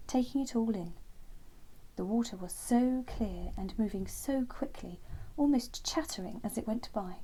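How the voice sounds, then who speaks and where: A woman narrating a bedtime story for children, gentle and expressive, with phrases often trailing into a hush. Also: mid-range voice, breathy edge, low-quality recording.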